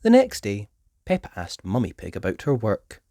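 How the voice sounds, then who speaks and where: A woman reading a children's story aloud as an expressive narrator. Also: a bass voice, normal speed, no echo. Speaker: storybook reader.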